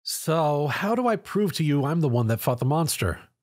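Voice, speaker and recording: A man's voice: a little high, very animated, normal speed. A man voicing an earnest, slightly awkward young male hero in a story. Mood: irritated.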